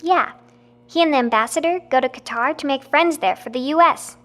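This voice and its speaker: A nine-year-old girl with a high, light voice. She talks earnestly and openly, in a childlike way. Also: a little fast, a bit bright.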